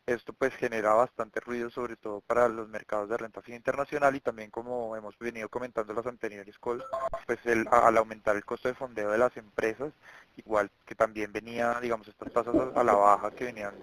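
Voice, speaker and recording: A man giving a semi-prepared market briefing as an analyst, speaking haltingly with many filler words and repetitions. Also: medium pitch, flat delivery, a bit bright, no echo, rough recording. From Colombia. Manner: halting, with frequent verbal tics and repeated words. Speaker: market analyst on an investor conference call.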